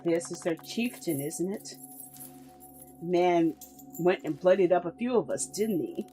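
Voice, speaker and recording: Woman playing a creepy slaver guy, low and hushed, slow taunting drawl. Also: animated, faint music behind. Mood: menacing. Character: cold male slaver, smug and cruel.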